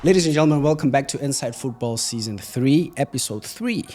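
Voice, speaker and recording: A man hosts a football podcast, welcoming his guests with upbeat, announcer-style introductions. His voice is mellow and dark. Soft music plays faintly underneath. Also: medium pitch, animated, a little fast. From South Africa. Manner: upbeat, announcer-like intros, friendly back-channel. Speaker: podcast host. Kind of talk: hosting a podcast interview.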